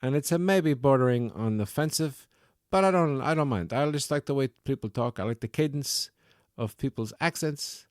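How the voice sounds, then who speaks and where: A man's voice: medium pitch, lively intonation, normal speed, no room sound, clean studio sound, voice pushed up, lightly acted. A man putting on the voice of an Italian immigrant who has lived in New York a long time, in an exaggerated accent for a comedy podcast bit. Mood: playful. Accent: Italian-New York put-on. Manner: drifting, exaggerated accent put-on.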